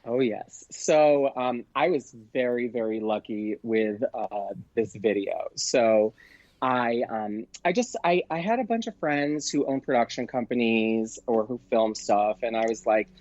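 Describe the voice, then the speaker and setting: A man chatting casually as a podcast guest, telling stories in an animated way and acting out what people said. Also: mid-range voice, measured pace, no echo, lo-fi sound. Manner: animated, acts out quoted exchanges. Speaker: podcast guest, performer and producer.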